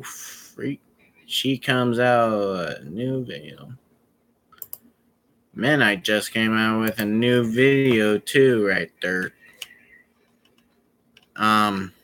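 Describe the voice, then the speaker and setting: A young man chatting casually to his viewers on a live stream, rambling and halting, with many fillers and restarts. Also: on the low side, pushed and bright.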